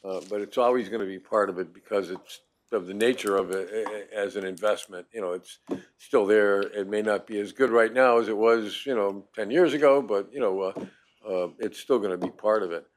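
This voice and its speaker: A man making informal remarks at a committee meeting, halting and rambling, often repeating words as he goes. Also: lowish voice. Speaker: committee member.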